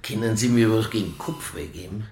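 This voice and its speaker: An actor playing a fat, hard-drinking, weary police inspector in a radio crime drama, dry and blunt. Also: male voice, lowish voice, fairly quick, a little mellow, a little hiss or hum, natural voice. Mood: groggy. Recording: roomy radio play studio sound.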